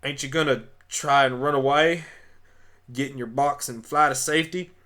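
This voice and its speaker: Farm pony, female: stubborn, folksy, bright and forceful played by a man, for amateur radio drama. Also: medium pitch, fairly even intonation, normal speed, natural voice. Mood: stern, suspicious. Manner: folksy, forthright. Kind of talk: amateur radio play acting.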